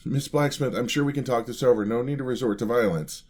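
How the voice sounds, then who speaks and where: A man's voice: medium pitch, fast, a little mellow, natural voice. A man playing a clumsy, earnest young paladin apprentice in a fantasy ASMR roleplay, with a hesitant, bashful, polite stammer. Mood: alarmed.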